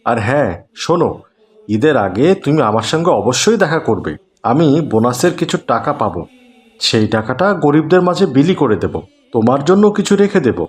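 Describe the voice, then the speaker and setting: A man as a kindly, lively cartoon gentleman. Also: medium pitch, fairly quick, lightly acted. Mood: reassuring. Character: kindly, pious, generous middle-class gentleman. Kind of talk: cartoon voice acting.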